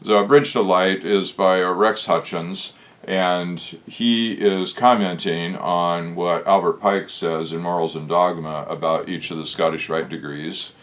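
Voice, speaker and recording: A man with a deep, low voice, a bit hoarse today, thinks aloud at his own pace. He hosts his own talk, reflective and casual, and stops often with "uh" while he gathers his thoughts. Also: steady tone, fairly bright tone. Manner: musing, unhurried, with frequent "uh" pauses. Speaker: channel host discussing Masonic lore.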